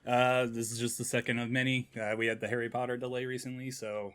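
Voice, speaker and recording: A man's voice: medium pitch, little pitch movement, quick pace, fairly bright tone, clean tone. A man chatting casually as a panelist on a gaming podcast, talking like an enthusiastic fan.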